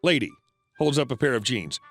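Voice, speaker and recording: A man narrating reddit stories in a scripted read, flat and even with sudden pitch jumps. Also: fairly quick, faint music behind.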